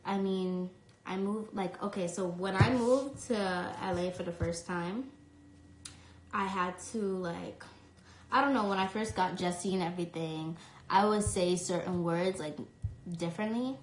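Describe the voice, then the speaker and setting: A woman's voice: lowish voice, a little slow, echoey room, a little hiss or hum, low-quality recording. A young woman from New York chatting casually on a live stream from her phone, reading fan questions aloud and answering with lots of "um" and "like.". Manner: chatty, fillers like um and like. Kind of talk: casual chat.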